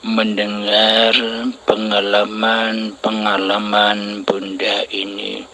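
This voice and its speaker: A man acting as a religious teacher, measured and solemn, opening with prayers before answering a caller. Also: lowish voice, completely flat delivery, bright, forceful tone, slight background noise, low-quality recording.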